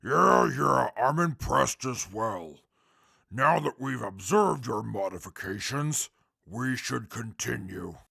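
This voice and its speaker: Guy doing a haughty impatient wasteland companion, super gravelly, slow n emphatic, stiff formal wording, audio drama. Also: medium pitch, lively intonation, pushed and bright, full character voice. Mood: grudging, formal. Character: haughty, impatient, gruff male travelling companion. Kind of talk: post-apocalyptic audio drama.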